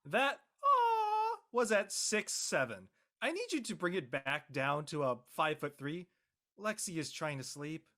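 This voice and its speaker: A man's voice: a little high, very animated, unhurried, bright, forceful tone, full character voice. Actor for young male best friend, drama, easygoing, supportive, with a teasing streak. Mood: mock stern. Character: devoted, reassuring young man, gently teasing. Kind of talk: acted dialogue in an audio-led play.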